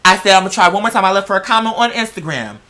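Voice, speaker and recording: A man's voice: high-pitched, expressive, racing, pushed and bright. A man telling personal stories in a casual, slangy rant, with a sassy, confrontational and emphatic manner.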